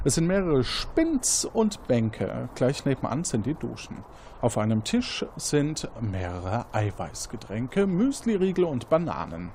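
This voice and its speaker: A man's voice: mid-range voice, sing-song, unhurried, darkish tone, a little hiss or hum. A man hosting as game master, narrating an interactive detective game in a conversational, improvised manner.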